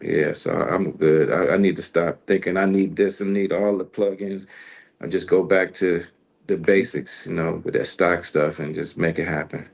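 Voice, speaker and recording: A man's voice: low voice, steady tone, normal speed, gravelly. A man talking casually and colloquially as a music show host, rambling through his thoughts in slangy speech. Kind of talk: casual interview chat.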